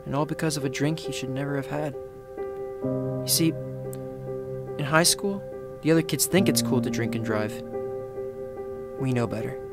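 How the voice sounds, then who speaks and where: A young man performing commercial voiceovers in a studio, with a hushed, intimate and measured delivery. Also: medium pitch, vocal fry. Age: young adult. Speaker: voice actor. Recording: music bed behind. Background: music beds.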